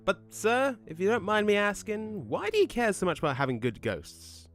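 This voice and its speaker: A man's voice: sits high, sing-song, moderate pace, a bit bright, faint music behind, exaggerated voice. A man playing an eager, loyal ghost underling in an audio drama. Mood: deferential. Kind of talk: scripted audio drama acting.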